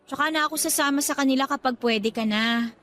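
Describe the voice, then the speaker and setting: High-voiced coy young Filipina girlfriend. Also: fast talker, a bit bright, soft background music. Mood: coy, affectionate. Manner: coy, teasing. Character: playful, clingy, jealous young girlfriend. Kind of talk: radio drama acting, conversational Taglish.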